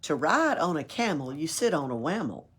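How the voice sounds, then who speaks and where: A woman's voice: sits low, normal speed, breathy edge. A woman reading a rhyming picture book aloud at a library storytime, playful, with little asides of her own. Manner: expressive, playful, adds asides and chuckles.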